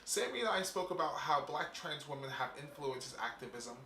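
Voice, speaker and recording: A male host riffs through a fast, irreverent comedic monologue, swearing freely before turning to interview a guest. His voice sits somewhat high, and the room around him rings with noticeable echo and a faint hiss. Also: lively intonation, pushed and bright. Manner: quick, profane, joking delivery.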